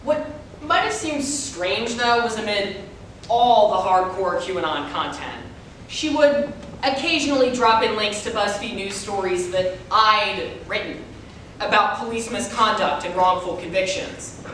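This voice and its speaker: A woman's voice: lively intonation, a little slow, a bit bright. A woman delivering scripted reader's theater narration in a theatrical, declamatory manner. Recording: echoey hall, distant mic, faint rumble.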